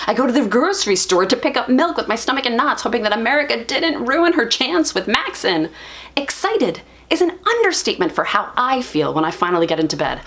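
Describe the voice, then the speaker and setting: A lovelorn young woman bookworm, acted out by a woman in a dramatic confessional monologue. She is intense, self-deprecating and emphatic, with a mid-pitched voice that moves expressively. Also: fairly bright tone. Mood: excited, driven. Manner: earnest, emphatic, halting with feeling.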